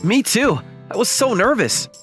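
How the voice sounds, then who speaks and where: A man acting an animated, earnest young man. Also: very high voice, moderate pace, faint music behind, exaggerated voice. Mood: friendly. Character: awkward, kind young man self-conscious about his looks. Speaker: voice actor. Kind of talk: manga dub dialogue and narration.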